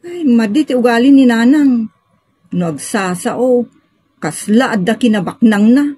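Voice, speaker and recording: A woman's voice: fairly high pitch, unhurried, warm tone, slightly rough, dry recording. A woman with a Filipino accent playing an outspoken, exasperated grown daughter in an audio drama, with an emphatic, scolding manner. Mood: disapproving.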